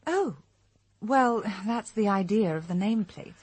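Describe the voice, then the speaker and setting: A woman's voice: mid-range voice, animated, measured pace, breathy edge, no echo. An actress playing a polite, determined young female private detective with an English accent in a radio drama. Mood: composed, firm. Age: young adult. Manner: polite, composed, quietly firm. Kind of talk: British radio drama acting.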